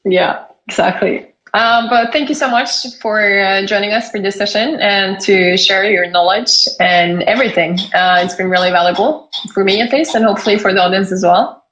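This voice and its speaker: Woman host at middle pitch, friendly, keeps the conversation moving, sums things up. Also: normal speed, a bit bright, a little hiss or hum, low-quality recording. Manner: friendly, sums up and moves the talk along. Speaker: host and moderator. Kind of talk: casual conversational interview.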